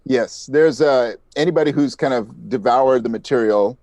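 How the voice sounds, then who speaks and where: A man's voice: mid-range voice, normal speed, darkish tone, no room sound. A man talking casually as an interviewed podcast creator.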